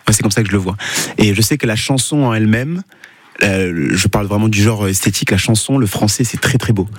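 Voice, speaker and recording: A man whose first language is Portuguese talks quickly and a little low as a musician guest on the radio. He sounds thoughtful, and he hunts for words with plenty of fillers. The studio sound is close and dry. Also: husky, no echo. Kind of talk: radio interview.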